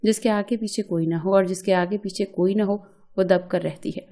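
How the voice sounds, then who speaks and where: Mellow-voiced woman narrating a story. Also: medium pitch, steady tone, moderate pace. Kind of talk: scripted first-person emotional story narration.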